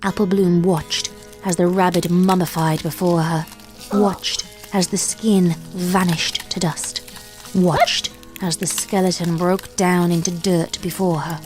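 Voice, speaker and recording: A woman reading a dark fanfic aloud in a measured, deliberate storytelling manner. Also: lowish voice, flat delivery, over music. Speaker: fanfic reader. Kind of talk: dramatic story narration.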